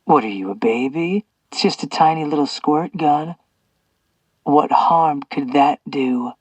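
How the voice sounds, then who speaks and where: A sneering, vengeful male captor who taunts his prisoner with slow, poisonous mockery, as a man puts him on in an audiobook. The voice is dry and close, a little husky. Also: a little high, no echo, distant mic, lo-fi sound. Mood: venomous taunting. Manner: venomous, mocking, drawn-out. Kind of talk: audiobook character voice.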